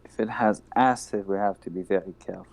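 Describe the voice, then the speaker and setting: Casting: low-voiced man, terse and blunt, a tabletop game player. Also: fast, vocal fry, darkish tone. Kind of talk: playing a tabletop roleplaying game.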